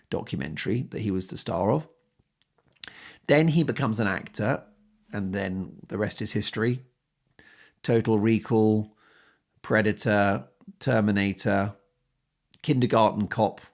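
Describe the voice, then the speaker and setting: A man hosting a podcast in a chatty solo monologue, speaking emphatically and with opinion, often building his points up in lists. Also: on the low side. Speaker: podcast host.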